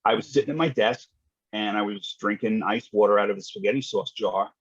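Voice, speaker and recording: A husky-voiced man recounts things in a matter-of-fact, colloquial way, with some salty language. He sounds like a podcast guest telling stories. The audio is dry and a little dull. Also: lowish voice, brisk, no room sound. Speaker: guest, retired fire dispatcher. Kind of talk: conversational podcast storytelling.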